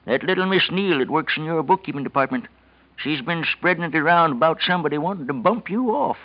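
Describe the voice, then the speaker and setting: An actor voicing a folksy, gossipy, eager night elevator operator with a hesitant, colloquial way of talking in a 1940s radio drama. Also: male voice, a little high, animated, quick pace, lightly acted. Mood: eager, nervous. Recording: noisy, dull vintage radio recording.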